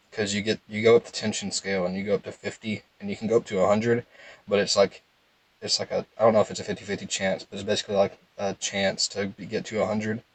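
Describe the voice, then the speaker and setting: A young man with a calm, low voice, giving casual, rambling game commentary in a laid-back monotone. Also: no room sound, low-quality recording. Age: young adult. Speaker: gaming video host.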